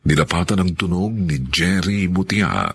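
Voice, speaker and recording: A man's voice: low-pitched, normal speed, creaky, a bit bright, husky. A man announcing a Filipino radio drama, reading scripted closing narration and credits in a formal, dramatic style.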